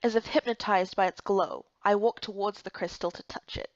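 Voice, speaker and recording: A woman's voice: medium pitch, normal speed, no room sound, muffled recording. A woman reading scripted first-person story narration for a game playthrough.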